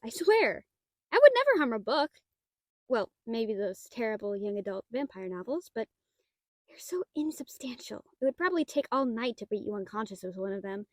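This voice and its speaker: Woman voicing a nerdy half-dragon librarian, female, very animated, close dry sound, stammering, prim about rules, audio roleplay. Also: sits high, dry recording. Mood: awkward, scheming. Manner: stammering, flustered, rambling with dry wit. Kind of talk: scripted audio roleplay addressed to the listener.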